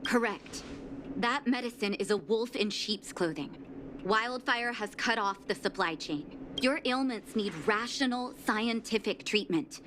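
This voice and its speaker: A woman's voice: on the high side, noisy background, lightly acted. A woman as a firm, resolute doctor. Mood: reassuring. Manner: firm, measured, quietly determined. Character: stern dedicated female doctor, resolute and composed. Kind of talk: game cutscene voice acting. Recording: game score music behind.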